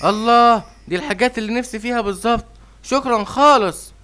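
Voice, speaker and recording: A man's voice: very high voice, quick pace, pushed and bright, slight background noise. A man voicing an obliging, hasty father lion in a cartoon, with an Egyptian Arabic accent. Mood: eager. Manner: hasty, eager to please. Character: hurried, obliging father lion, king of the jungle.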